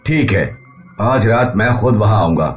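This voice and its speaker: A man's voice: lowish voice, animated, moderate pace, faint music behind, lightly acted. A man voicing a suspicious, authoritative police inspector in a cartoon horror story. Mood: puzzled, questioning. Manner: authoritative, questioning. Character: stern, suspicious, puzzled male police inspector.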